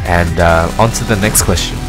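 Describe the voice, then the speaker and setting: A man's voice: deep voice, normal speed. A man of about twenty from London with a mixed British and American accent, talking casually as he gives a gameplay commentary. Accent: London English mixed with American. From London, England. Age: around 20. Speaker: gaming video creator. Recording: music behind the voice. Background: music.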